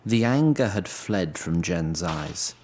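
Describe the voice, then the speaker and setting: A man's voice: low-pitched, lively intonation, takes it slowly, darkish tone, a little hiss or hum. A man narrating a scripted fantasy audio drama in a dramatic storytelling style.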